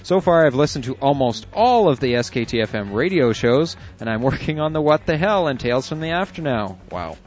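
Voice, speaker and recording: A man's voice: mid-range voice, sing-song, fairly quick, few pauses. A man co-hosting an internet radio show, reading listener emails aloud with chuckles and asides in casual chat. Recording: dull lo-fi audio with faint music.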